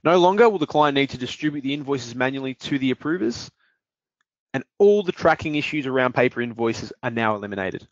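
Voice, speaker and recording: A man presenting and walking through a software demonstration in a steady explanatory way, with occasional fillers. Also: mid-range voice, brisk, dry recording. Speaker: product presenter. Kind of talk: software demo walkthrough. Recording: dull dry lo-fi audio.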